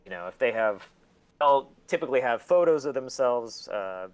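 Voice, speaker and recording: A man's voice: mid-range voice, animated, normal speed, smooth. A man chatting casually as an interview guest, candid, profane and self-deprecating, telling rambling stories and acting out quotes. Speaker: guest, collector.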